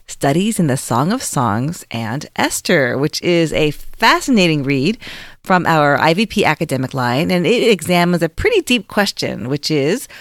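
A woman co-hosts a podcast, her low voice rising and falling with animated expression. She introduces the guest and asks questions enthusiastically. The sound is dry, close and free of room echo. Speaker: podcast co-host. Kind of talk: podcast interviewing.

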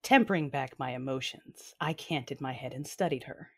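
A woman's voice: a bass voice, moderate pace, vocal fry, a little mellow, slightly rough, full character voice. A woman playing an intense, battle-hardened Sith mother who narrates her own story theatrically in an audio drama. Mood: grim, determined. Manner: brooding, theatrical, emphatic outbursts. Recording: music bed with rain sounds behind.

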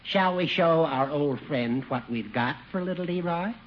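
An actor playing a genteel, fussy older judge with formal, eager speech in a radio comedy. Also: male voice, fairly high pitch, normal speed, close to an everyday voice. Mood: genteel, keen. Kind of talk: 1940s radio comedy acting. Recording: dull old radio broadcast.

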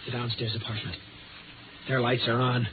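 A man's voice: medium pitch, little pitch movement, normal speed, lightly acted. An actor playing an embittered, desperate, paranoid man in a 1950s radio drama, speaking tensely and insistently. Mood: agitated. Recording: noisy, tinny vintage radio recording.

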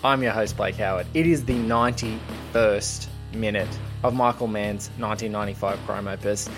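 A man's voice: fairly low pitch, fairly even intonation, normal speed, over music. A man hosting a film podcast in a giddy, effusive, emphatic way. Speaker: podcast host. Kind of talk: enthusiastic film podcast introduction.

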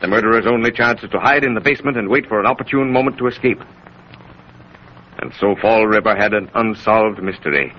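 A man's voice: fairly low pitch, fairly quick. A man narrating a scripted 1930s radio drama in a solemn, oratorical, emphatic manner. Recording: muffled, hissy 1930s radio recording.

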